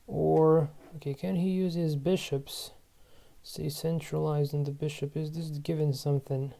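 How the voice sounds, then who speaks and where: Man, 30s, channel host; dark, mellow tone; halting think-aloud game commentary. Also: a little high, little pitch movement, vocal fry. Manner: musing aloud to himself, halting. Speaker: channel host playing online board games.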